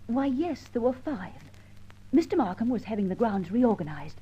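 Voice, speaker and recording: A woman's voice: fairly high pitch, very animated, moderate pace, dark tone, slightly rough, muffled recording, heavily acted. An actress playing a genteel, squeamish British lady, a rogue's companion in an old radio drama, speaking expressively. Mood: explaining.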